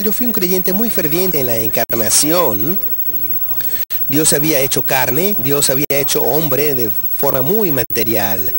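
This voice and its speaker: A man from Texas, a guest in an interview, telling his own faith story in an earnest, reflective way with frequent hesitations. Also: a little high. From Texas, USA. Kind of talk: personal faith testimony in conversation.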